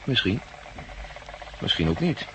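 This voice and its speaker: An actor in a 1960s radio drama playing a shrewd, brooding farm worker who speaks gravely and deliberately. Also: male voice, on the low side, sing-song, low-quality recording. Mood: grim, musing. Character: brooding male plant-farm worker, shrewd, grimly warning. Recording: old radio recording, faint noise.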